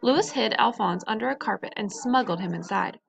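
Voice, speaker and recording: A woman's voice: on the high side, animated, fairly quick, creaky, a crowd in the background. A woman reading a children's story aloud in a narrating style. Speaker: storybook reader.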